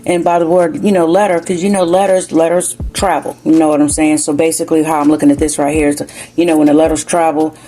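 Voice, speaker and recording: A woman's voice: low-pitched, little pitch movement, fast talker, dark tone, soft background music. A woman from New Jersey, raised in the South, with a slight Southern country accent, chatting casually through a spiritual card reading and often asking "you know what I'm saying?". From New Jersey, raised in South Carolina. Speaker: tarot card reader.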